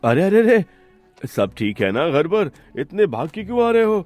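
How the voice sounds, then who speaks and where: A man's voice: high-pitched, very animated, moderate pace, a little mellow, no room sound, faint music behind. A man voicing a hardworking, affectionate village father who runs a roadside eatery in a cartoon story. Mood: worried.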